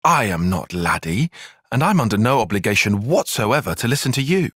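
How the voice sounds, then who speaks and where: Precise young British tactician, lively pitch. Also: male voice, brisk, husky, no room sound, clean studio sound, heavily acted. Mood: irritated. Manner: formal, precise, bookish wording. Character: earnest, clipped, analytical young male soldier and tactician. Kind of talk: video game cutscene acting.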